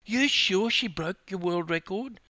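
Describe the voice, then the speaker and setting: A man acting out an incredulous, high-pitched husband. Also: animated, unhurried, sharp tone, dry recording, heavily acted. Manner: disbelieving, questioning. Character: sceptical husband, incredulous and needling his wife.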